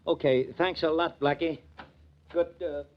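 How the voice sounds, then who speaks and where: An obliging, easygoing police sergeant, played by a man in vintage radio drama style. The voice sits on the high side, on a muffled old broadcast. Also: steady tone, measured pace, lightly acted. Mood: obliging, friendly. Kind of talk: 1940s radio drama acting.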